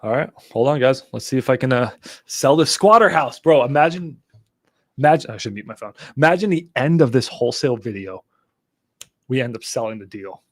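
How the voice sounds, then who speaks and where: A young man hosting a live stream on real estate wholesaling, talking casually to his chat and getting excitable and exasperated at times. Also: mid-range voice, fairly quick, no room sound, studio quality. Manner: excitable, swears when exasperated, talks to chat. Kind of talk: casual livestream teaching.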